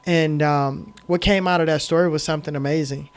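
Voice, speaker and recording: A middle-aged man telling his story as a podcast guest, emphatic and animated, with frequent "um"s. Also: fairly high pitch, a little fast. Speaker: podcast guest, film director. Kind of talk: casual storytelling interview.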